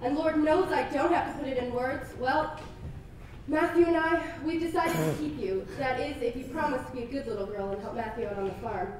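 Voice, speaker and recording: An actress playing a stern, brisk older spinster who gives clipped, commanding orders. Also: female voice, high voice, noisy background, natural voice. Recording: echoey, distant, music behind.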